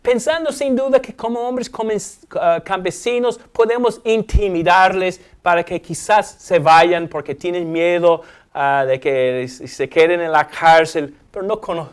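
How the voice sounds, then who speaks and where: A man with an Alabama accent leads a Bible study in Spanish as a native English speaker. His voice sits high and he keeps things animated, firing questions at his partner. Now and then he drops in playful sound effects. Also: unhurried, few pauses, clean tone. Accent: American English (Alabama). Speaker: Bible teacher.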